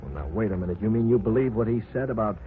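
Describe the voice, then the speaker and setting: A man's voice: lowish voice, animated, very fast, natural voice. An actor playing an eager, curious young man on his first expedition, in a 1940s radio drama. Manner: eager, inquisitive. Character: young male student explorer, eager and curious. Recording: tinny old radio broadcast with faint hiss.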